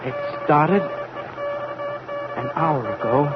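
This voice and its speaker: Distraught, halting spaceship radioman. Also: very high voice, huge pitch swings, exaggerated voice. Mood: anguished. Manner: halting, broken phrases, anguished. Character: distraught, fearful spaceship radio operator relaying faint messages. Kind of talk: old-time radio drama acting. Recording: muffled old radio recording, loud music behind.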